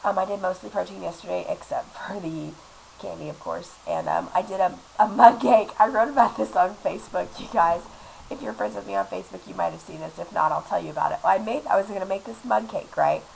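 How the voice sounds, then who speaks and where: Young woman vlogger, sick and stuffed up, gravelly voice, chatty, rambling, filler-heavy, recorded on a laptop webcam mic with faint hiss. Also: on the low side, lively intonation, a little fast, rough recording. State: sick, congested with a cough. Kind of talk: casual chat to camera. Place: small apartment room indoors.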